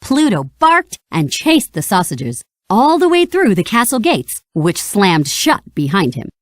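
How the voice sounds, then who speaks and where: Animated woman narrating a children's storybook. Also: mid-range voice, measured pace, no room sound, studio quality. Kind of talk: scripted children's read-along narration.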